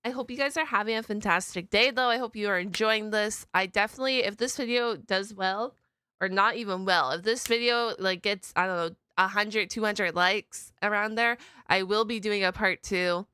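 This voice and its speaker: A woman's voice: mid-range voice, moderate pace, bright, forceful tone, clean tone, dry recording. A woman hosting a reaction video in a casual, chatty way, exclaiming and musing aloud in informal slang.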